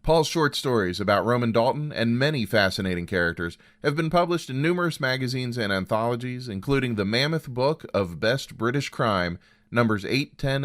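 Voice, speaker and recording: A man's voice: medium pitch, a bit bright. A man hosting a story program, reading a scripted introduction. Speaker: host introducing stories.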